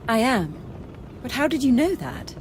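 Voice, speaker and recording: High, animated young English lady. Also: normal speed, a little hiss or hum. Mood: polite, chatty. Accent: educated English. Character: young well-bred British lady, excitable and outspoken. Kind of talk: video game dialogue acting.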